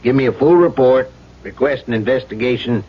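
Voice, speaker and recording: An actor playing a firm, skeptical, practical boss in a 1970s radio drama, speaking with brusque authority. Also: male voice, medium pitch, a little fast, husky, lightly acted. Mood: impatient, firm. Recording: dull old radio recording.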